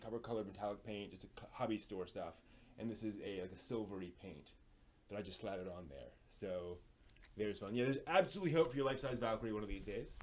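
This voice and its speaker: A man hosting a casual live stream, explaining hobby projects in a halting, thinking-aloud way. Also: on the low side, a little mellow.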